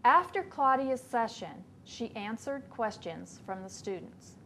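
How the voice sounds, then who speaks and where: A woman in her fifties presenting an instructional webcast for teachers, reading a measured script in a small studio. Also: fairly high pitch, lively intonation, heavy background noise. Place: small studio before a backdrop.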